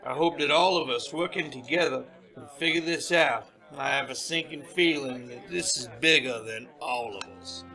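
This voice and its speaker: An actor playing an authoritative military general in charge of a project, brisk and commanding, in radio drama style. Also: male voice, mid-range voice, sharp tone, faint music behind. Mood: grave, concerned. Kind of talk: old-fashioned radio drama acting.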